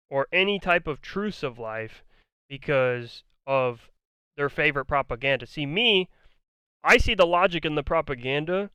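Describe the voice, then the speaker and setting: A man delivers argumentative, profane commentary, hammering the same points again and again. His smooth voice sits somewhat high and has begun to turn hoarse. He was recorded up close with no room sound at all. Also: pushed and bright, no echo. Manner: argumentative, blunt, profane, repeats himself. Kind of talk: ranting commentary on a debate.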